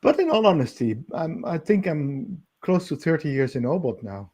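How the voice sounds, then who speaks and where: A man with a slightly higher voice co-hosts a conversational interview. He talks eagerly but haltingly, stopping and restarting as he goes, and he laughs easily between his phrases. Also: very animated, a little mellow. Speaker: co-host interviewer.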